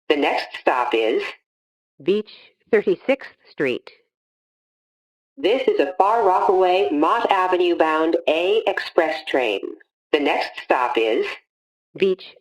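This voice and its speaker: A woman reading scripted automated subway announcements in a formal, measured, phrase-by-phrase manner. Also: on the low side, rough recording.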